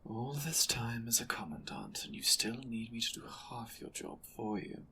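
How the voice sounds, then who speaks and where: A man playing an imperious, menacing board leader in an audio drama, speaking in a condescending, deliberate, sardonic way. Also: medium pitch, very animated, creaky, pushed and bright, a little hiss or hum, exaggerated voice. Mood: scornful, sardonic. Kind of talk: audio drama roleplay acting.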